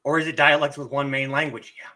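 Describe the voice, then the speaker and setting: Bright-voiced man with a lingering cough. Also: mid-range voice, quick pace. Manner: relaxed, chatty, answers chat questions. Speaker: live stream host teaching language tones. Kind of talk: casual explanatory live stream.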